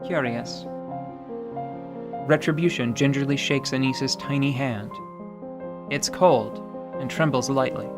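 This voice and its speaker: A man narrating a fantasy radio play in a measured, dramatic storytelling style with musing asides. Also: mid-range voice. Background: soft music.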